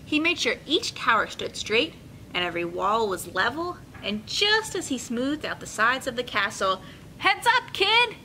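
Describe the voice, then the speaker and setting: A young woman hosting a children's storytime, speaking in an expressive, encouraging way as she reads and instructs. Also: normal speed, rough recording. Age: young adult. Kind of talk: picture-book reading and friendly instruction for children. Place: indoor children's room with carpeted steps. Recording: clear room noise, low rumble.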